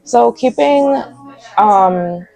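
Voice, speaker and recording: A woman's voice: fairly high pitch, expressive, drawn-out words, gravelly, darkish tone, rough recording. A young woman of Guyanese heritage, a magazine founder, chatting casually as an interview guest in a cafe, full of "like" fillers. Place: cafe and lounge.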